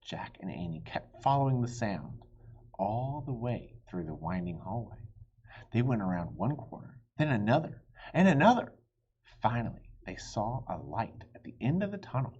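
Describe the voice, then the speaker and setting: A man narrates a children's storybook with expression. His voice is husky and moves up and down a lot in pitch, at a middling register. The recording is slightly dull and lo-fi. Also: very animated, normal speed, a little mellow. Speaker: audiobook reader. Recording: dull, lo-fi audio.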